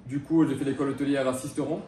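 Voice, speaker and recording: Chef guy in his 30s talking quick, hesitant, lots of restarts, roomy echo, mic kinda far off. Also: medium pitch, fairly even intonation, dark tone, slight background noise. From France. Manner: hesitant, many fillers and restarts. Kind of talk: interview answers.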